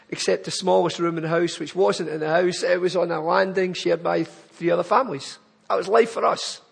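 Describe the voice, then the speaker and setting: A man's voice: sits high, vocal fry, husky, in a large hall. A man with a Glaswegian accent giving an earnest, pleading charity appeal in church. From Scotland. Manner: earnest, pleading, building to emphatic lists.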